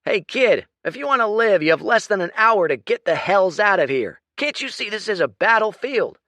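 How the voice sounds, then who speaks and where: A man's voice: fairly high pitch, big pitch swings, slow, sharp tone, no room sound, pitched above the performer's own voice, heavily acted. A man voicing an impatient, scornful army lieutenant in an audiobook, barking his lines. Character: gruff, impatient male soldier lieutenant.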